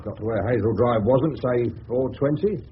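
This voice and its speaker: An actor playing a brash, sarcastic, slangy English suburban private detective in a 1970s radio drama. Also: male voice, mid-range voice, moderate pace, close to an everyday voice. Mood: defensive. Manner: slangy, cocky, sardonic. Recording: tinny, hissy old radio broadcast.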